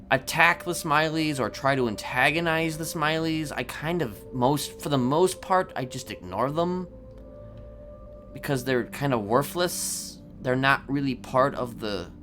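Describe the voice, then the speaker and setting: A man playing a calmly boastful, evasive serial killer in improvised crime roleplay, rambling and hesitant as he confides. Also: mid-range voice. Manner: halting, rambling, hesitant delivery.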